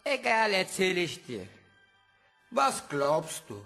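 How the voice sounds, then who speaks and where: A man's voice: high-pitched, huge pitch swings, slow, bright, forceful tone, faint music behind, full character voice. A man playing a brash, protective, streetwise boy with speech in an audio drama. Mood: brash, cocky. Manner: slangy, blunt, punchy phrasing. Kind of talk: German audio drama acting.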